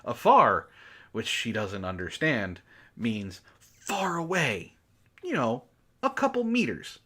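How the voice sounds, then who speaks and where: An exasperated, sarcastic host reads a story aloud in a bright, forceful voice and keeps breaking off to mock it. The delivery swings widely in pitch, with casual swearing in the commentary. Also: sing-song, slow, husky. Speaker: book club host reading aloud and commenting. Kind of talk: mocking dramatic reading with casual commentary.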